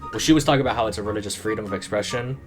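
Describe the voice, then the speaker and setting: A man's voice: lowish voice, very fast. A young man in casual group chat, explaining the facts with stops, restarts and fillers. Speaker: panel member. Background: music.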